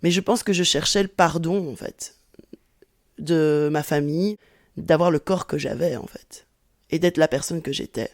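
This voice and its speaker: A woman's voice: low voice, normal speed, darkish tone, no room sound, clean studio sound. Candid woman in her forties. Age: 40s. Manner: candid, reflective, with hesitant restarts. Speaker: interviewee, sociology professor. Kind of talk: personal testimony in an interview.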